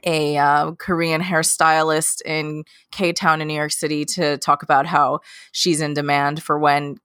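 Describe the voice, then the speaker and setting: A woman's voice: sits low, level tone, a little slow, a bit bright, clear, resonant tone, no room sound, studio quality. A woman in her late twenties, talking as a guest in a casual interview, chatty and rambling with lots of fillers and restarts. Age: late 20s. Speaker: guest, K-pop journalist.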